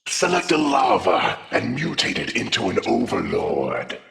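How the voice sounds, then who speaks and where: A man voicing a stern, monstrous alien brood commander in a video game, instructing imperiously in a very gravelly voice. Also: medium pitch, wildly swooping pitch, a little slow, heavy background noise, full character voice. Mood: instructive. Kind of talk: video game character briefing.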